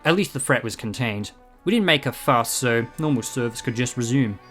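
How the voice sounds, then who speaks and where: A man's voice: mid-range voice, expressive, fairly quick, fairly bright tone, soft background music, lightly acted. An actor playing a quirky, self-assured male time traveller in an audio drama, wry and breezy in manner. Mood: brisk, explanatory.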